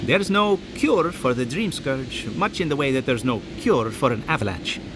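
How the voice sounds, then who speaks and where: An actor playing an eccentric, giddy scholar in a video game, morbidly curious and smugly condescending. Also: male voice, mid-range voice, normal speed, background noise, close to an everyday voice. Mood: lecturing. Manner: excitable, smug, mock-sympathetic. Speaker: game character, researcher. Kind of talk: theatrical video game acting.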